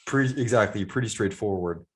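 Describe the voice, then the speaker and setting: A man's voice: lowish voice, fairly quick. A man hosting a podcast, asking casual, conversational interview questions. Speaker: podcast host.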